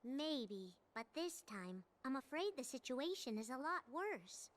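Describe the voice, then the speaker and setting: Game casting: tiny solemn spirit creature, very high voice, slow deliberate delivery. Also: female voice, big pitch swings. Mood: concerned. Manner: earnest, deliberate, cautioning. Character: small cute cat-like spirit guide, gentle and solemn. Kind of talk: video game cutscene voice acting.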